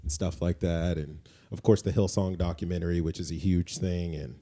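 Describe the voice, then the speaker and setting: Man, deep voice, dark soft tone, casual podcast co-host, informal, agreeable. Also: steady tone, moderate pace. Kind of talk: casual conversational podcast chat. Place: indoors, relaxed seated setup.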